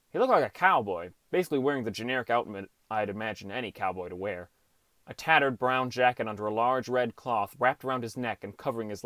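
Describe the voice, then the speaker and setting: A man's voice: medium pitch, fairly bright tone, dry recording, close to an everyday voice. An actor playing a downcast teenage boy who narrates his gaming adventure in an understated, wry first-person audiobook style. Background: music.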